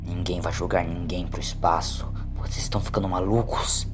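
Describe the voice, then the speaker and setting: A man's voice: sits low, steady tone, normal speed, over music, pitched below the performer's own voice, lightly acted. A man voicing a level-headed, firm flight controller and father in an audio story. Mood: stern. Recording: clear background noise.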